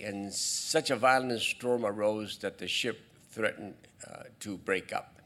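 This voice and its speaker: A male pastor preaching a sermon in a church, talking in a halting way with restarts and asides to the congregation. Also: on the low side, a bit bright. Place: church sanctuary.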